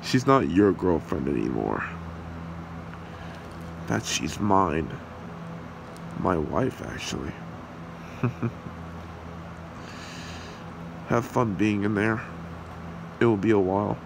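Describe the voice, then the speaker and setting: A man's voice: low voice, slow, pauses often, exaggerated voice. A man voicing a possessive, cruel demon husband with a menacing, taunting manner in an ASMR roleplay. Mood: possessive gloating. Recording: clearly noisy home recording, steady hum.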